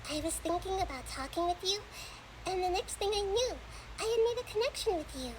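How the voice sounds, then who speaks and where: A little female spirit from a video game in an extremely high, childlike voice. She sounds sweet, gentle and caring. Clear ambient noise sits behind her. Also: lively intonation, fairly quick, poor audio. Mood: gentle, thoughtful.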